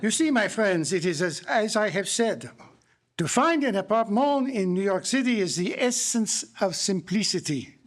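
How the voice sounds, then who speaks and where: An actor playing a courtly, self-satisfied Belgian detective with a French-speaking accent, explaining precisely in a theatrical live mystery drama. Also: male voice, high voice, sing-song, normal speed. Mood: courtly, wry. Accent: French-speaking Belgian, put on. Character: Belgian detective, courtly, pompous, confident man. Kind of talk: live radio-style mystery drama performance. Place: public library live stage before an audience.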